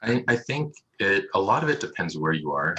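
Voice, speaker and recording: Male interview guest, doctor: extremely low, slightly raspy voice, explanatory and conversational, on a video call. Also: big pitch swings, a little fast, bright, forceful tone. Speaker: emergency radiologist, interview guest. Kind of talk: casual interview answers. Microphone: video call microphone.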